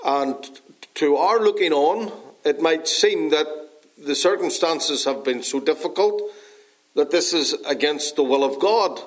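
Northern Irish pastor preaching earnestly. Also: male voice, a little high, lively intonation, rough recording. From Northern Ireland. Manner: unhurried, earnest preaching with frequent fillers. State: dry cough. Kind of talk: sermon.

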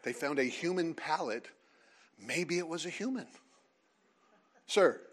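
Animated man leading a lively seminar. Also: fairly high pitch, measured pace. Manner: animated, teasing, calls on audience. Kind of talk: lively creationist talk with audience. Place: hall with live audience.

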